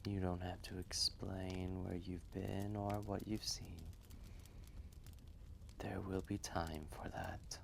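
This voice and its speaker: A man voicing an ancient, gentle, wise male dragon in a roleplay story, speaking in a hushed, measured, often breathy voice with a kindly manner. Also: flat delivery, a little hiss or hum, full character voice. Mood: soothing. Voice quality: low, gravelly, often breathy and whispery.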